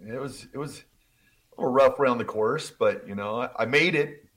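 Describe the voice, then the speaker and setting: A man's voice: mid-range voice, brisk, fairly bright tone. A man on a sports talk radio show, chatting in a laid-back, joking way. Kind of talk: casual radio chat.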